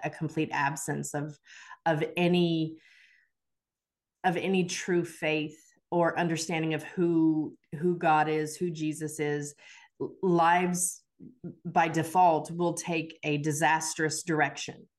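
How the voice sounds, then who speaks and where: A woman's voice: low-pitched, flat delivery, takes it slowly. Earnest woman hosting a faith interview. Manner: earnest, gently questioning. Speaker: host.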